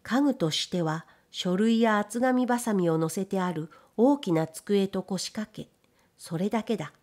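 Measured female audiobook narrator. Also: mid-range voice, a little mellow. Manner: measured storytelling. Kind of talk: scripted literary narration.

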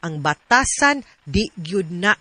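A woman's voice: on the low side, very animated, slow pace, pushed and bright, dry recording. A woman with a Cebuano Filipino accent speaking as a radio host, giving frank, conversational advice and mixing in English. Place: radio studio.

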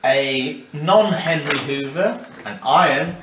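A young man leading a jokey tour of a small house, talking in a deadpan tour-guide manner. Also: a little high, slow pace. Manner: deadpan mock-tour-guide delivery, drops to whispers. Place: small rented house, indoors. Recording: echoey rooms, camera off at a distance, noisy.

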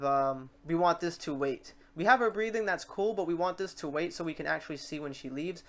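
Casual tutorial host, thinking aloud. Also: mid-range voice. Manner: informal, rambling, thinking aloud. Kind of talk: casual software tutorial narration. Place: voice-over for a screen recording.

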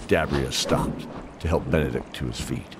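A man's voice: rumbling low, normal speed, noisy background. A man narrating a fantasy audio drama in a dramatic scripted style. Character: storyteller narrating epic fantasy battles. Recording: loud music under voice. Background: loud music.

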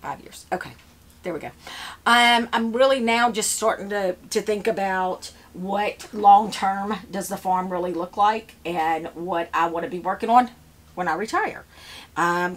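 A woman's voice: mid-range voice, a bit bright. Chatty woman in her fifties. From Louisiana, US. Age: 50s. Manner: chatty, rambling, with many fillers and restarts; works with seedling trays while talking. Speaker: flower farmer. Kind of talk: casual chat to camera. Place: indoor seed-starting shed with grow shelves.

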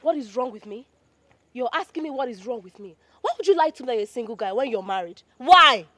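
A woman's voice: a little high, lively intonation, fast talker, noisy background, lightly acted. A woman playing a furious betrayed lover. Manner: scolding, accusing. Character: young woman betrayed by a married man, furious.

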